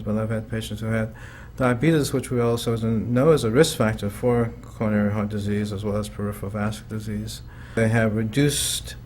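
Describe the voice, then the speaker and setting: A male physician in an interview, speaking in a clinical, measured way with some fillers. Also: fairly low pitch, darkish tone, slight background noise. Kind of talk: interview commentary.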